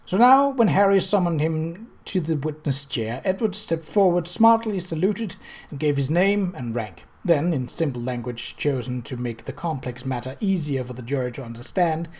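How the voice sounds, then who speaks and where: A narrator with a mid-pitched voice reads literary fiction aloud. The delivery is scripted, measured storytelling suited to an audiobook. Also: a little mellow. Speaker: audiobook reader.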